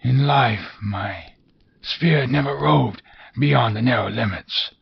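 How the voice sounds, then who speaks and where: A man voicing the wailing, chained ghost of an old miser, with a high quavering voice that rises to cries. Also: takes it slowly, creaky. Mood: mournful wailing. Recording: dull lo-fi recording with faint hiss.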